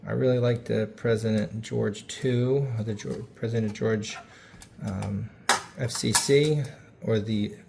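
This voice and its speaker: Male DIY host, mid-pitched with vocal fry, informal down-home phrasing, narrating hands-on repairs over slight background noise. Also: warm tone.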